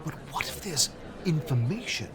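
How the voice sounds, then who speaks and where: A man's voice: on the high side, sing-song, normal speed, crowd noise. An actor playing an eager, polite young Englishman who talks in a rambling, enthusiastic way. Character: eager, polite young man, opera fan.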